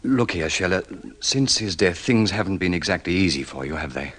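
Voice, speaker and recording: An actor playing an earnest, courteous, persuasive suitor with gentlemanly polish in an old-time radio drama. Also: male voice, deep voice, animated, brisk, husky, full character voice. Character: earnest, smooth-talking suitor, self-made man with gentlemanly polish. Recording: vintage radio drama recording.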